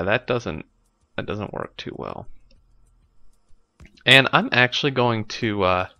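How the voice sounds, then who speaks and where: A man with a congested, stuffy nose talks his way through a building game, thinking aloud and padding with fillers. His voice sits low, yet it comes across bright and smooth on a desk mic. The recording is dry but a little dull. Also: no room sound. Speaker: let's play host. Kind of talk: casual gameplay commentary. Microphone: desk microphone.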